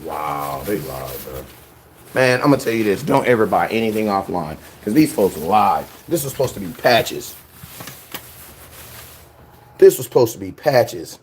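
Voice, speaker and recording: A young man hosting a casual fan show, talking in slang and repeating himself when excited. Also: on the low side, unhurried, long pauses, slight background noise. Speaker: sports fan show host. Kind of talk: casual live-stream chat.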